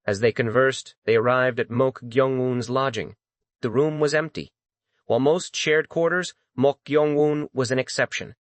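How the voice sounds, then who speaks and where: A man's voice: mid-range voice, fairly even intonation, moderate pace, no echo. Dry-sounding man narrating dramatic fantasy. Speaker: audiobook narrator.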